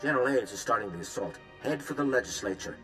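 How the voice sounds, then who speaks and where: A man's voice: mid-range voice, lively intonation, fast, music in the background, natural voice. A man playing a grave, remorseful statesman in a video game, speaking tersely and seriously. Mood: grave urgency. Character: grave, remorseful male statesman urging on a hero. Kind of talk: dramatic video game dialogue.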